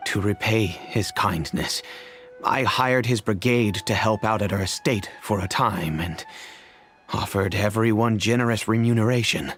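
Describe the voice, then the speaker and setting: A man's voice: fairly low pitch, a bit bright. A man playing a dignified middle-aged father and family head in a video game, speaking formally and solemnly. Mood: reflective. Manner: formal, grave, measured. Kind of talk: video game character acting. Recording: music behind the voice.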